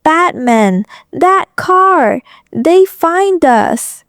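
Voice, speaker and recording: High, halting, frightened young Vietnamese woman. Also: expressive, fairly bright tone, dry recording, clean studio sound, heavily acted. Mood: panicked. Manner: halting broken English, pleading. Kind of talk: audio drama acting.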